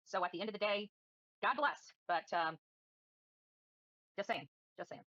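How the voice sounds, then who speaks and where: A woman's voice: medium pitch, normal speed, bright, forceful tone, no room sound, muffled recording. A woman livestreaming commentary and answering viewers in a combative, defiant, scornful manner. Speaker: livestream host.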